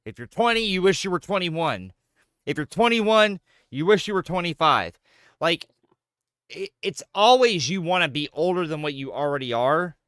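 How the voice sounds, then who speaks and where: A man's voice: a little high, normal speed, clear, resonant tone, no echo, clean studio sound. A man in his thirties, a gaming streamer chatting casually with jokes, swearing and playful banter. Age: 30s. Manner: joking, profane, animated banter. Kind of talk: casual chat while gaming.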